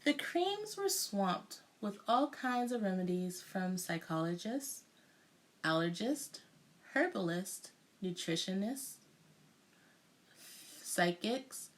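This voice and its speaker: A woman reading a children's picture book aloud with deliberate, dramatic storytelling delivery. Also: medium pitch. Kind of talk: expressive children's read-aloud narration.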